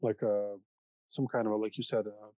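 A man, a music producer whose first language is Russian, explaining studio acoustics in a halting casual chat with many restarts. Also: lowish voice, little pitch movement, no echo. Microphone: podcast microphone. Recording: dull, dry sound.

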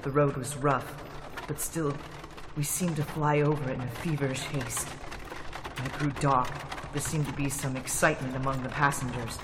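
A man's voice: mid-range voice, normal speed, big-room sound, background noise, lightly acted. A man playing a young English law clerk who narrates his travel journal in a radio drama, growing uneasy. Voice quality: steady narration that turns to alarmed shouting.

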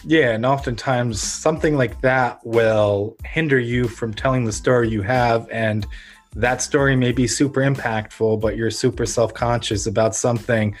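An American man hosts a podcast conversation in a mid-pitched voice. He speaks in an earnest, reflective, motivational way. Faint music plays underneath him. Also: steady tone, normal speed. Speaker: podcast host.

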